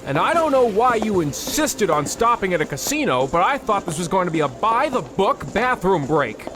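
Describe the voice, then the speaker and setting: A man's voice: sits high, big pitch swings, moderate pace, background noise. An actor playing a stingy, pompous businessman husband who stammers with indignation, in an old-time radio comedy. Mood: impatient.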